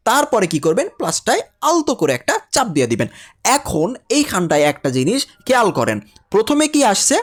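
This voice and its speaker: A Bangladeshi man gives a casual tutorial lesson at a quick pace. His pitch moves up and down a lot as he addresses learners directly, chattily repeating his instructions. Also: wildly swooping pitch. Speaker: animation teacher.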